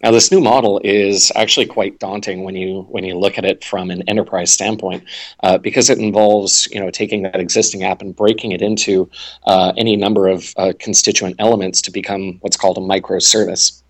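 A very deep-voiced man, a guest on a tech podcast, explains his work at length. He talks fluently but leans on lots of fillers like "uh" and "you know.". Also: little pitch movement, brisk. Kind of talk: casual interview answers.

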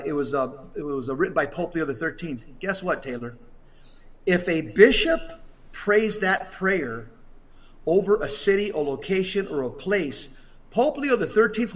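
A man with a fairly high voice delivers religious commentary on a podcast over a video call. He is emphatic, often halting and restating a phrase before carrying on. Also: fairly bright tone. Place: hotel room, on a remote connection.

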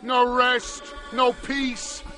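High-pitched, slow, tormented male ghost. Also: flat delivery, pushed and bright, exaggerated voice. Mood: grave warning. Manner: slow, solemn, anguished declamation. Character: tormented, doom-laden ghost of a dead businessman. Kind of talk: theatrical family radio drama. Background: dramatic music.

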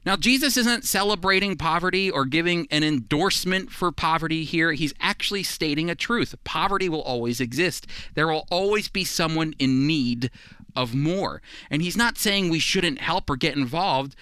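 A man teaching the Bible on radio, speaking earnestly and emphatically in deliberate, repeated phrases. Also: on the high side, bright, forceful tone, clear, resonant tone, no echo.

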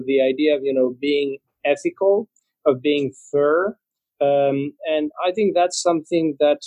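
A man's voice: fairly high pitch, a little mellow, no room sound, poor audio. A man from Spain answering interview questions about brands and trademarks, talking fluently with many "you know" fillers. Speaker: interview guest, trademark association representative.

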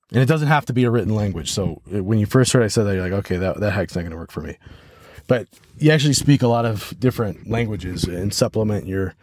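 An American man hosting a podcast solo, talking reflectively and haltingly as he thinks aloud. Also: fairly low pitch, darkish tone, no room sound, clean studio sound. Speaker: podcast host.

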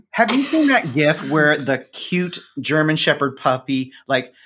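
Man chatting with lively, swinging pitch. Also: slow, husky. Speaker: podcast co-host appearing as guest. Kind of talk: casual interview chat.

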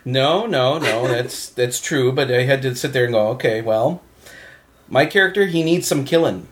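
Guy joking around in a group chat, average pitch, slight noise. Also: normal speed. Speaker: voice actor and podcast guest. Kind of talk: casual joking banter. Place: indoors at a home gathering.

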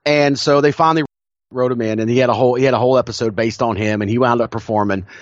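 A man's voice: mid-range voice, fairly even intonation, fast talker, dry recording. Enthusiastic man telling stories, lo-fi sound. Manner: enthusiastic storytelling, frequent restarts, laughs often. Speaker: podcast co-host. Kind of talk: casual chat. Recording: dull lo-fi dry recording.

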